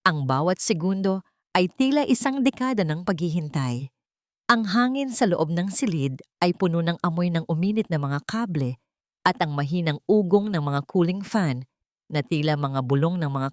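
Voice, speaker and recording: Low-voiced woman narrating a drama. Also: big pitch swings, moderate pace, darkish tone, dry recording, studio quality.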